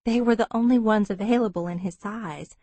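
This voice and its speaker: A woman voicing a bold, persistent younger woman with crisp, businesslike speech in an audiobook. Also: medium pitch, fairly quick, warm tone, dry recording, lightly acted. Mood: defensive. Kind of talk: audiobook character dialogue.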